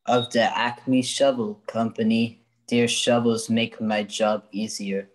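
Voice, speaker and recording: A man playing a shady, deadpan fellow giving a stiff testimonial in comic old-time radio style. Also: medium pitch, slow, natural voice.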